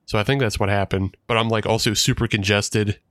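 A man's voice: fairly low pitch, fairly even intonation, brisk, fairly bright tone, no room sound, studio quality. A man co-hosting a podcast, speaking with a congested voice. He rambles casually and laughs often. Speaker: podcast co-host. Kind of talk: casual chat.

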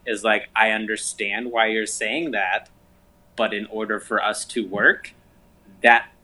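A man's voice: on the low side, steady tone, a little slow, bright, forceful tone, rough recording. A man from Southern California with an American accent, talking bluntly in a casual conversation. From Southern California, United States.

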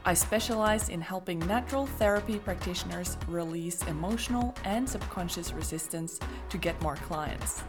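A woman, hosting a podcast in an upbeat, enthusiastic scripted monologue. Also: medium pitch. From Norway. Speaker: podcast host and business coach.

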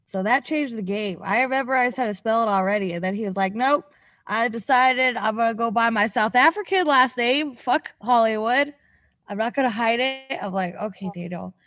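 A woman with a fairly high voice hosts a podcast in a loose, chatty way. She is animated and quick to giggle. The sound is very dry, with hardly any room on it. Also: no room sound. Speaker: podcast host. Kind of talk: casual chat.

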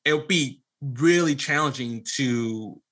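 A man in casual podcast conversation, talking in a halting, rambling way and often restarting his phrases. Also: mid-range voice, fairly bright tone. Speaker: podcast guest and media producer. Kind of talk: casual conversational interview talk.

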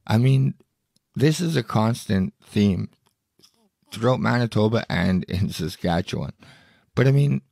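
A podcast host with a lowish, gravelly voice that is going hoarse from a cough. He reads out news items and reacts in a blunt, indignant way, swearing freely. The sound is close and dry, with no room around it. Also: lively intonation, a little slow, long pauses, a little mellow, no room sound. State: hoarse, losing his voice with a cough. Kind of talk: solo podcast commentary reading news aloud.

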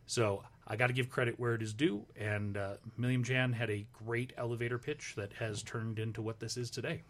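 A man's voice: mid-range voice, fairly even intonation, fairly quick. A man in his forties, a showrunner talking as a guest in a radio studio, with a dry, teasing sense of humor. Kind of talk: casual radio interview.

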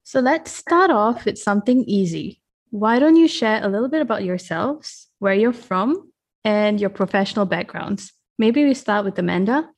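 A woman's voice: mid-range voice, lively intonation, normal speed. A woman hosting a podcast, introducing guests and asking a first question politely. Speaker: podcast host. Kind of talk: scripted interview introduction.

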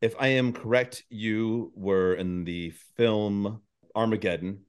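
A man's voice: lowish voice, steady tone, slow pace, smooth. A man hosting a podcast in casual, joking conversation, rambling along with goofy enthusiasm. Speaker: podcast host.

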